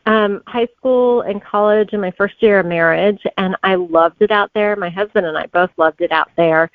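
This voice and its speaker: A woman's voice: mid-range voice, normal speed, no echo, narrow, tinny audio. Woman author guest, dry mid-range voice. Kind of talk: conversational interview answers.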